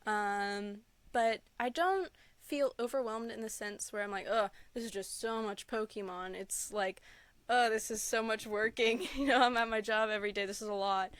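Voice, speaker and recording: A woman speaks as a guest in a casual interview, with a smooth, clear voice. She tells her stories with earnest enthusiasm, and the sound is close and dry. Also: fairly high pitch, a bit bright, no echo. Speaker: podcast guest, Pokémon content creator; store employee. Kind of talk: casual interview chat, anecdotes.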